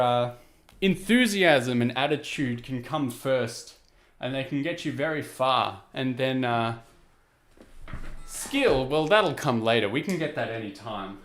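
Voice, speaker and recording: A man with a low speaking voice chatting casually and self-deprecatingly to his viewers, rambling with lots of fillers. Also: roomy sound. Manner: easygoing, rambling, self-deprecating, many "you know" and "uh" fillers. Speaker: VTuber streamer. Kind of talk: casual chat to stream viewers while restringing a guitar.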